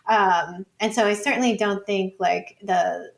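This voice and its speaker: A woman's voice: medium pitch, fairly even intonation, a little slow, vocal fry. A woman researcher speaking as an interview guest, informal and conversational, sprinkling her points with "like" and "you know". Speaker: academic researcher, podcast guest.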